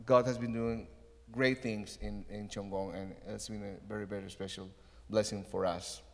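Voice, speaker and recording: A middle-aged man from Ecuador, a missionary giving an earnest church update with many pauses and fillers. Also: lowish voice, flat delivery, darkish tone, big-room sound.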